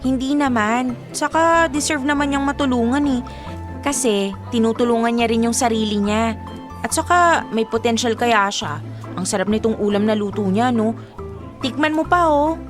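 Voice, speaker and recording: A woman acting as a young Filipino college girl, loyal and quick to defend herself, in a high voice. It is conversational radio drama with clear background music. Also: lightly acted. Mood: breezy, defensive. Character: loyal, defensive female college student selling food for friend.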